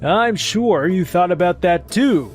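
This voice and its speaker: A man voicing a stern, measured male superior in a comic dub, deliberate, pointed and reproving. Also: high voice, lively intonation, faint music behind. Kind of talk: scripted manga dub voice acting.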